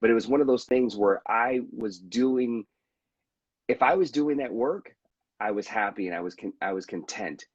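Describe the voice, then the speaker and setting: A man's voice: medium pitch, moderate pace, breathy edge, no echo, lo-fi sound. A veteran guest speaking candidly and reflectively about his own experiences, often restarting his sentences as he talks. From United States. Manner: earnest and reflective, with frequent restarts. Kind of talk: candid conversational storytelling.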